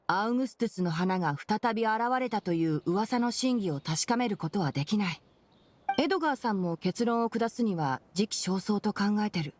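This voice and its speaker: Woman voicing a calm, thoughtful young forest ranger. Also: mid-range voice, moderate pace, slight background noise, close to an everyday voice. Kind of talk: Japanese anime game voice acting.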